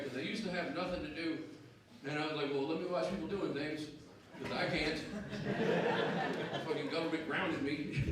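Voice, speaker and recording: A brash, self-mocking man with a Southern US drawl delivers a crude stand-up set in a high, punchy voice. The sound is heavily echoey and picked up from a distance, with loud crowd laughter and noise behind him. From American South. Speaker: stand-up comedian. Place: comedy club stage. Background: audience laughter and noise.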